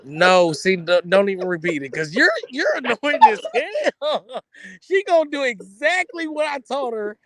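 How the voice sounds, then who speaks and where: An American man in his thirties chatting casually in heavy slang, bantering with an animated, theatrical air of protest. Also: very high-pitched, a little slow. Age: 30s. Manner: animated, dramatic mock protest.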